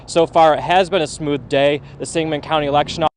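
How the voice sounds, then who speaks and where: An American male reporter with a fairly high voice delivers a live news report outdoors. Faint street and traffic noise sits behind him. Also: steady tone, a little fast. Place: outdoors outside county election office.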